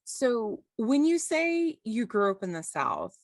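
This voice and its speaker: A woman's voice: a little high, wildly swooping pitch, takes it slowly, creaky, no echo. A woman from Louisiana hosting an interview podcast, asking questions in an eager, encouraging way. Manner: enthusiastic, encouraging, frequent backchannel. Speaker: podcast host. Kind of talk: casual interview chat.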